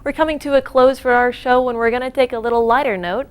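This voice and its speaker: A woman's voice: sits high, fairly even intonation, fast, clear, resonant tone. A woman hosting a student TV show, reading upbeat scripted links and asking questions. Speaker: student TV host.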